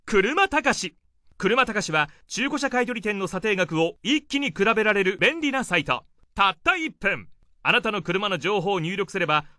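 A man sells in a punchy scripted radio ad. His voice is high and bright, with swinging intonation in a dry studio. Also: dry recording. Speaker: advert announcer.

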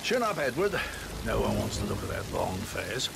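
An actor playing a sarcastic, mocking son of a rich family. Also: male voice, on the low side, big pitch swings, normal speed, gravelly, heavy background noise, heavily acted.

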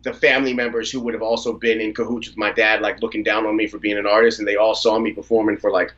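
A man in his thirties from the Washington DC area, a rapper telling relaxed stories about his family and career as a guest on a video-call interview. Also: lowish voice, fast talker, lo-fi sound. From United States, Washington DC area. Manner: relaxed storyteller, laughs easily. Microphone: video call from a phone or laptop.

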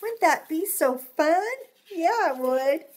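A middle-aged woman reads to young children in a high voice. She takes her time and breaks off for chatty asides, encouraging her listeners with simple questions. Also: lively intonation, slow. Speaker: children's story reader. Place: small home room, indoors.

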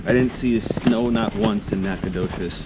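A man with a fairly low voice chats casually while out walking with a companion. The recording is made outdoors, and loud ambient noise runs heavily behind his speech. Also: a little slow. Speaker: hiking companion. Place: outdoors on a snowy woodland trail.

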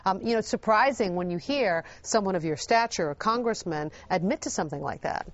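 A woman's voice: mid-range voice, animated, fairly quick, no room sound. A woman hosting a TV education show, interviewing her guest in a polished, leading way. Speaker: TV show host. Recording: dull broadcast audio.

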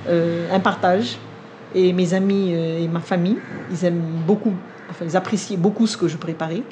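An older woman whose first language is Vietnamese, speaking in a sit-down interview in a living room, measured and thoughtful with frequent pauses. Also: on the low side, fairly even intonation, mellow, a little hiss or hum. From India. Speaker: cookbook author.

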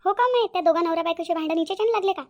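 A woman's voice: extremely high pitch, racing. A woman voicing a timid, curious village sidekick in a cartoon, exclamatory and questioning, with a Varhadi Marathi accent. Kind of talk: cartoon character dialogue.

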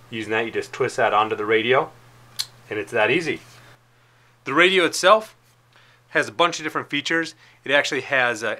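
A man's voice: medium pitch, bright, forceful tone. An American man, around his thirties, presenting in an informal, explanatory way, like a casual product demonstration. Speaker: product presenter for a radio retailer. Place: indoor studio with plain backdrop.

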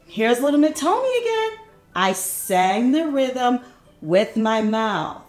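A woman reading a picture book aloud to small children in an animated, encouraging, exaggerated way, inviting them to join in. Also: fairly high pitch, slow pace, echoey room, faint music behind. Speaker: read-aloud storyteller for young children. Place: small furnished indoor room.

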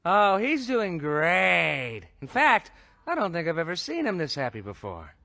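Smug, creaky-voiced cartoon rabbit. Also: male voice, high-pitched, huge pitch swings, unhurried, bright, forceful tone, heavily acted. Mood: smug, sly. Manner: laid-back, sarcastic. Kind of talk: animated series voice acting.

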